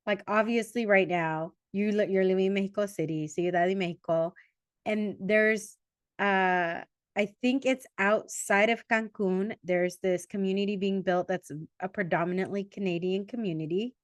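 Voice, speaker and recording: A woman in her forties hosting a podcast in a relaxed interview chat, talking with quick asides and self-corrections. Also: mid-range voice, dry recording, studio quality. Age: 40s. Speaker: podcast host.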